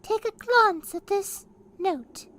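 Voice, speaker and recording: A woman's voice: very high voice, slow, background noise. A woman voicing a whimsical, plaintive female circus jester with quaint, dramatic rhyming lines in a fantasy game fan dub. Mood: dejected.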